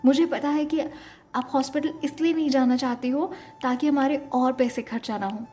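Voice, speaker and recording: A woman's voice: high-pitched, warm tone, close to an everyday voice. A woman voicing an insistent younger brother who scolds and pleads innocently in an audio story.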